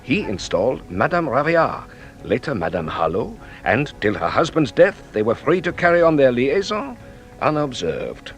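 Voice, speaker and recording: An actor playing a shrewd middle-aged French police detective in a radio drama, measured, theatrical and secretive. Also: male voice, medium pitch, sing-song, soft background music, close to an everyday voice. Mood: grand, confiding.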